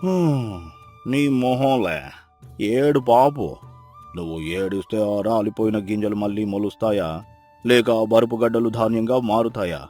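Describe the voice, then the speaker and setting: A man's voice: lowish voice, normal speed, dark tone, soft background music, lightly acted. A man playing a mocking village moneylender with a hidden kind heart in a dramatized moral story, sarcastic, teasing and blunt.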